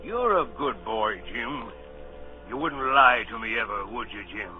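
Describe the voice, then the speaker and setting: Man acting a gruff old sea captain, old radio. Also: mid-range voice, lively intonation, a little slow, lightly acted. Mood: wary, coaxing. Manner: barking orders, salty nautical talk. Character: gruff, suspicious, domineering old seaman captain. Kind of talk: 1930s radio drama acting. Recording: muffled, noisy vintage radio recording.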